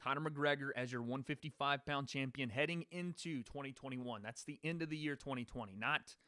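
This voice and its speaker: A man's voice: medium pitch, fairly quick, sharp tone, no echo, studio quality. A man hosting a live stream, giving his sports predictions in a casual, rambling way and greeting people in the chat. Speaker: podcast host.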